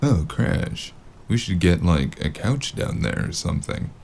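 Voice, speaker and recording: An actor playing a weary, sardonic noir investigator in an audio drama, gravelly and dryly deadpan. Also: male voice, low-pitched, unhurried, slight background noise, full character voice. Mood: wry. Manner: dry, understated deadpan.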